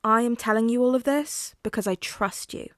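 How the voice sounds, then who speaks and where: Lady reading as a careful formal dad guy explaining stuff, measured, dry audiobook sound. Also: a little high, no echo, lightly acted. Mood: grave, confiding. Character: guarded, formal father with villain lineage.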